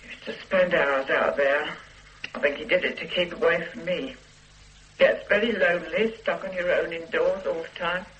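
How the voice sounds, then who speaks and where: A woman's voice: on the low side, fairly even intonation, moderate pace, a bit bright, lo-fi sound, a little hiss or hum, close to an everyday voice. A woman playing a lonely, complaining elderly mother in a radio drama, self-pitying and reproachful. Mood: plaintive. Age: older. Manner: self-pitying, guilt-tripping.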